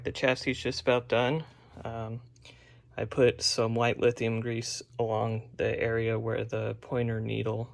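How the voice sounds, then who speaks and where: A man giving a casual, unscripted, step-by-step narration of hands-on repair work, with a gravelly, creaky voice. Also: mid-range voice. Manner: plain-spoken, unscripted step-by-step explaining. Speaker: radio restorer presenting a repair vlog.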